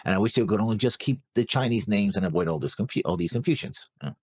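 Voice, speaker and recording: Casting: male co-host, deep and lively in pitch, halting as he reads and comments casually. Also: slightly rough, dry recording, clean studio sound. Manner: halting, stumbles over names. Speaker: reaction show co-host. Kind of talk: casual chat, reading out viewer comments.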